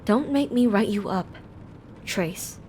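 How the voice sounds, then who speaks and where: A woman's voice: medium pitch, slow pace, a little mellow, natural voice. A woman plays a caring but firm schoolteacher in an audio drama. Her mid-range voice is composed and instructive, over faint noise. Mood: stern.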